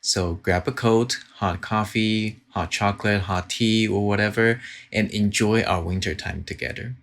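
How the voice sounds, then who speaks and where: A man in his forties from Taiwan, calmly explaining a watercolor painting lesson from his home studio. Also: fairly low pitch. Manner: calm, gentle, unhurried explaining. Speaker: watercolor teacher. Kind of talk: painting tutorial. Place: home studio with guitar and shelves.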